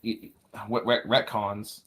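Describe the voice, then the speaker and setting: A man chatting casually in a group, speaking in a halting way and repeating himself. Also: lowish voice, level tone, fairly bright tone.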